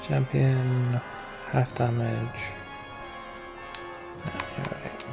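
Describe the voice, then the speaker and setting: A man's voice: medium pitch, level tone, very slow, vocal fry, music in the background. A man giving casual, informal gameplay commentary, half talking to himself with sweary, sardonic asides. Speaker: let's play host.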